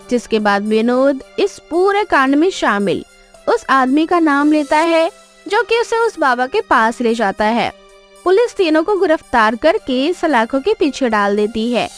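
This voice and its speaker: A woman narrating a scripted dramatic moral story as its storyteller. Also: high voice.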